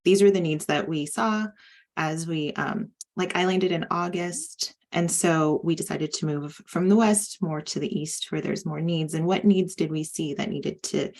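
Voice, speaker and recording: A woman's voice: low voice, measured pace, a little mellow. A woman on a panel explaining relief programs, with frequent fillers as she goes. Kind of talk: panel talk.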